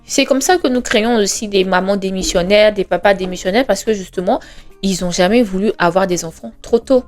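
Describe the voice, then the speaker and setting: A young woman in her twenties talks through her thoughts, earnest and conversational, pausing on fillers as she goes. Her voice sits at an ordinary middle pitch, and she leans on rhetorical questions and reassurance. Soft music plays faintly underneath. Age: 20s. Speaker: podcast host. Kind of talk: reflective monologue. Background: faint background music.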